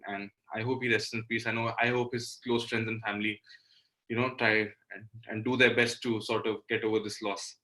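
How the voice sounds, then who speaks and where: A man's voice: lowish voice, little pitch movement, fairly quick, pushed and bright, rough recording. A man in his twenties with an Indian accent, giving casual, conversational answers as a musician being interviewed. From Delhi, India. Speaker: interview guest, singer-songwriter.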